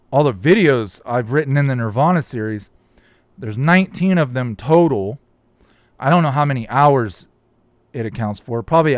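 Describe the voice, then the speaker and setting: A man's voice: medium pitch, dry recording. Guy on a live chat going off about comments, voice getting a little cracked, dry room sound. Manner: rambling, reads viewer comments aloud. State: voice starting to crack. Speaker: YouTube host reading live chat. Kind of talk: casual live-stream chat.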